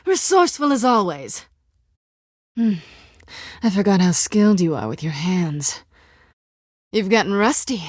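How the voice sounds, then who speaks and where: A woman's voice: medium pitch, big pitch swings, a little slow, long pauses, no room sound, clean studio sound. A woman voicing a sly, self-assured cat burglar in a video game, with a sultry, wry, coolly teasing way of talking. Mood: taunting, playful. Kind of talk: video game voice acting, dramatic dialogue.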